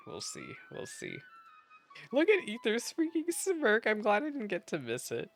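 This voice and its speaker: A woman streamer giving casual, chatty, playful commentary with exclamations during a game playthrough. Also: extremely low pitch.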